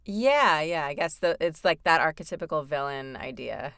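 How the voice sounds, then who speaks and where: A woman voice actor chats freely about her work in a low, smooth, resonant voice. She talks loosely with fillers and small laughs, recorded dry and close. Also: expressive, moderate pace, a bit bright, no room sound. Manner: chatty, with laughs and frequent fillers. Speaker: voice actor guest. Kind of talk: casual interview chat.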